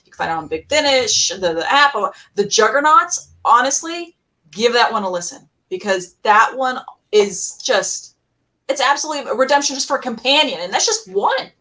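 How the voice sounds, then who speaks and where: A woman with a fairly high voice talks casually as a fan on a podcast panel. She is emphatic and gushing, often slipping into little acted-out bits of remembered conversation. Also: sing-song, moderate pace, pushed and bright. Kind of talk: casual fan chat with animated anecdotes.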